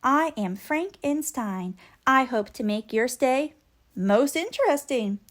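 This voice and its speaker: A woman voicing a formal, deliberate male monster who manages a spooky storybook hotel. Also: high voice, animated. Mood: formal welcome.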